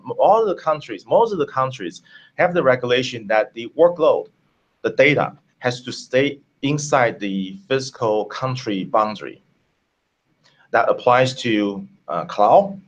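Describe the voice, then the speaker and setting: A man from Taiwan, a tech company founder, answering interview questions over a video call in a halting way with many fillers. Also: on the low side, dull audio.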